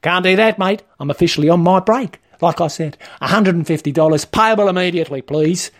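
A man's voice: sits high, expressive, moderate pace. A man voicing an officious, matey Australian male shop trainer for a comic story, with a blokey, rule-bound manner. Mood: firm, officious. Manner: blokey, officious, laying down rules.

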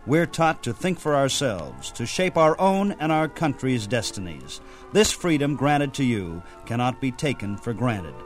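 A man's voice: medium pitch, sing-song, unhurried. A man with an American accent hosting a radio show with scripted announcements. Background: music.

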